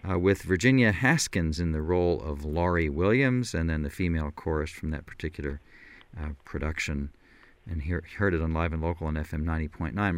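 A man hosting a radio interview in a studio, talking in a relaxed way with frequent fillers. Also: low-pitched, clean tone, no echo. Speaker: radio host. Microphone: studio microphone.

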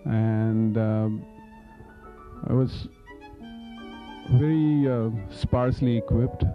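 A man from India whose first language is Marathi speaks in a measured, mid-pitched voice, pausing on fillers. The recording is dull, with music beneath. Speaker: interviewee. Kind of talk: oral history reminiscence.

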